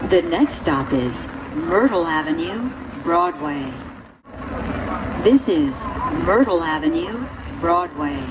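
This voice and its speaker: Slow female train announcer over noisy PA. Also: lively intonation. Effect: train public-address speaker. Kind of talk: recorded transit announcements, scripted. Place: inside a subway train, over speakers. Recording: dull, noisy recording inside moving train. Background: rumbling train noise.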